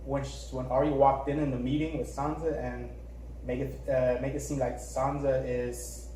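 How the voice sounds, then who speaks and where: Uomo che divaga, in una stanza con eco. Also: male voice, mid-range voice, flat delivery, normal speed, mellow, a little hiss or hum. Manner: rambling, searches for words. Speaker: podcast co-host. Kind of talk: casual chat. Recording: echoey room.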